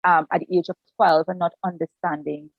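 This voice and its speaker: A woman's voice: fairly low pitch, flat delivery, a little fast, dry recording. Earnest Trinidadian woman, dull dry call audio. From Trinidad and Tobago. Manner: earnest, reflective, restarts her sentences. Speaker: guest, pastor and family life counselor. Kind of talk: personal story in an online interview.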